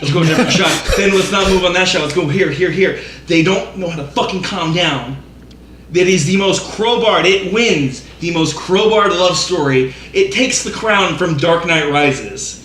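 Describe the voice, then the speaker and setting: Exasperated high-pitched man ranting, sweary. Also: normal speed, fairly bright tone. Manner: profane, exasperated, emphatic, repeats phrases for effect. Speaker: podcast co-host giving a film rant. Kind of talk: casual ranting movie review among friends. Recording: roomy echo, faint background noise.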